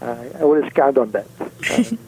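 A man's voice: mid-range voice, very animated, normal speed, vocal fry, mellow, poor audio. A man with an Italian accent, a neuroscientist guest on a radio health show, talking hesitantly with many ums and a joking manner. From Italy. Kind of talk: radio interview. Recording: faint background noise.

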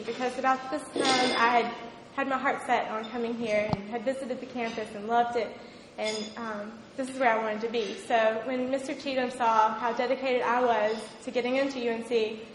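A young woman with a slightly high voice speaks sincerely and a little haltingly. Her voice echoes in the room, with a long reverb and faint noise. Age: young adult. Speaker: university student.

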